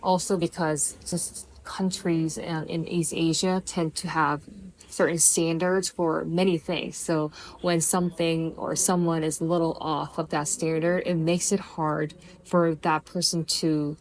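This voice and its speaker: A woman born in South Korea speaks in a fairly low voice. She sounds measured and thoughtful as she explains her views in a documentary interview. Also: level tone, a little hiss or hum, rough recording.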